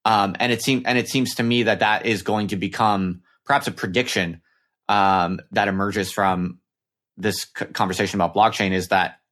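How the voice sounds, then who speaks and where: A man hosting an intellectual interview, speaking in a deliberate, hesitant way and repeating phrases as he works out his point. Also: lowish voice, fairly even intonation, a bit bright. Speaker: podcast host, interviewer. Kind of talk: intellectual discussion, unscripted.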